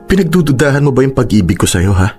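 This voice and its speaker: A man's voice: medium pitch, big pitch swings, fast talker, darkish tone, soft background music, close to an everyday voice. A man with a Filipino accent acting a persistent, emotional young man in love in a radio drama. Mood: pleading. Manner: teasing, insistent, emotional.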